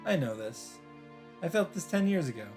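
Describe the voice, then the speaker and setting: A man's voice: fairly high pitch, sing-song, normal speed, a little mellow. A man reading visual novel narration aloud as a let's player, dropping in casual, wry asides. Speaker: video game let's player. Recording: music behind the voice.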